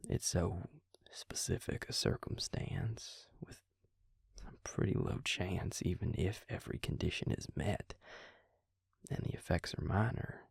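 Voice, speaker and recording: A man playing a calm, protective vampire in an intimate audio roleplay, speaking gently and measuredly in a breathy, gravelly near-whisper. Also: low-pitched, a little slow, no echo, studio quality, heavily acted. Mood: grave, explaining. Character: calm, protective male vampire explaining lore to a werewolf. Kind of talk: intimate audio roleplay, slow hushed delivery.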